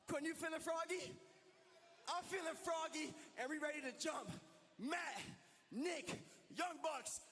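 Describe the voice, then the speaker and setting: Brash wrestler shouting a promo in an arena. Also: male voice, extremely high pitch, a little hiss or hum. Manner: brash, shouting, trash-talking. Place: large indoor arena. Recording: arena mic with long reverb.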